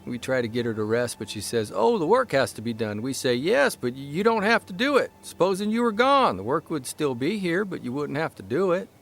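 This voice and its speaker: A man voicing a young newspaper columnist, telling a story in a measured, fond way. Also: a little high, big pitch swings, creaky, a bit bright, full character voice. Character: young male newspaper columnist, earnest, reflective. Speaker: actor reading a column in character. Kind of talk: scripted narration and radio drama acting.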